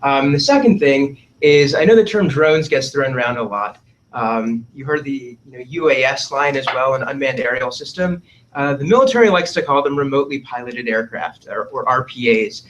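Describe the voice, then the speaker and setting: A man's voice: mid-range voice, moderate pace, a bit bright, a little hiss or hum, poor audio. A young man speaking as a panelist, explaining to an audience in a casual way, with repeated words and fillers. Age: young adult.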